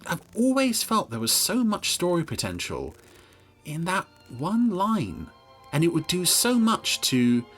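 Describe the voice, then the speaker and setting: A man hosting a podcast, giving wry, hesitant and expressive running commentary on a television episode. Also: high voice, a little slow, faint music behind. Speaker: podcast host.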